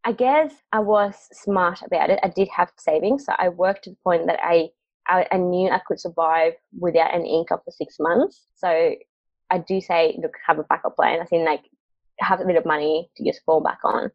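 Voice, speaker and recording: A woman's voice: lowish voice, fairly even intonation, moderate pace, dry recording. A young woman from Mexico, a guest on an interview, chatting casually with frequent laughs and fillers. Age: young adult. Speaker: guest, marketing agency founder. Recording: dry, dull lo-fi call audio.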